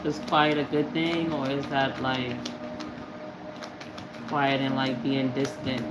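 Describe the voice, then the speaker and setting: A livestream host with a slightly stuffy, allergy-clogged voice chats to viewers at a slow, easygoing pace. The talk rambles from one thought to the next. The voice bounces around a roomy space while loud music plays underneath. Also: medium pitch, flat delivery. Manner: chatty, rambling, talks to viewers. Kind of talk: casual livestream chat.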